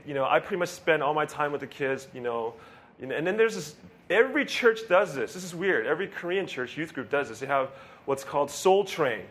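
A man's voice: on the high side, a bit bright, a little hiss or hum. A male pastor giving a casual, rambling sermon, joking about himself and laughing often. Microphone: handheld or stand mic with feedback.

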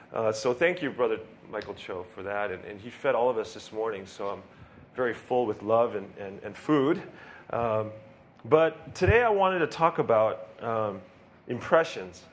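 A man's voice: mid-range voice, fairly bright tone, slight background noise. A male pastor giving a casual, rambling sermon, joking about himself and laughing often. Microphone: handheld or stand mic with feedback.

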